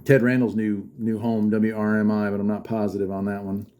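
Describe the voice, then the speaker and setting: Low-voiced man over clear radio static. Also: little pitch movement, a little fast, dark tone. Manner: enthusiastic, thinking aloud. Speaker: host of a radio hobby channel. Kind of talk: casual off-the-cuff commentary while tuning a radio. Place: room with fridge and air conditioner running. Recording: noisy, shortwave radio static behind. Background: radio static, appliance hum.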